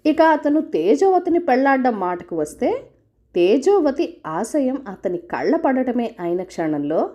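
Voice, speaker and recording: Storyteller, female. Highish voice, very animated melody, scripted folk-tale narration. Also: lo-fi sound.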